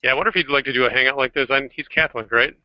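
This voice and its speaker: Regular guy chatting in an online hangout, middle pitch, dry muffled call sound. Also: rapid-fire, no room sound. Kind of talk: casual group chat. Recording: dull lo-fi internet call audio.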